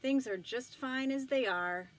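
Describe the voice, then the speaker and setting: A woman's voice: sits high, measured pace, voice pushed up. A woman voicing an ordinary, cautious, mildly bewildered married man in a storybook, with emphatic delivery. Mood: mildly indignant.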